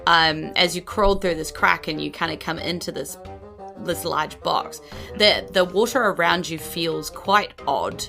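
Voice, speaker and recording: A woman's voice: fairly low pitch, measured pace, creaky, bright, forceful tone, soft background music. A woman improvising narration as a game master, casual and a little hesitant as she thinks aloud. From New Zealand.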